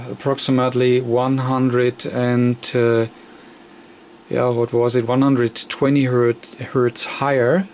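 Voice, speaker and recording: A man who speaks as a walks through a technical repair step by step. His voice sits at an average pitch and moves very slowly, with frequent hesitations and an unscripted, halting flow. A faint layer of noise sits behind him. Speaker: radio repair technician demonstrating. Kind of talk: unscripted hands-on repair tutorial.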